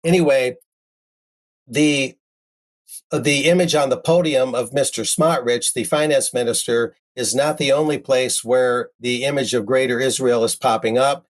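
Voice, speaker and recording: A man's voice: mid-range voice, fairly even intonation, unhurried, poor audio. Man presenting news commentary, conversational. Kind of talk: news commentary, reading articles aloud with ad-libbed remarks.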